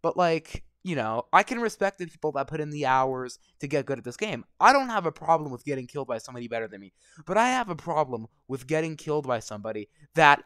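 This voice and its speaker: A man's voice: fairly high pitch, very animated, quick pace, fairly bright tone, clean tone, dry recording. Profane ranting young gamer guy. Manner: angry, swearing constantly, rambling. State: voice going hoarse. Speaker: gaming vlogger. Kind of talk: profane ranting commentary over gameplay.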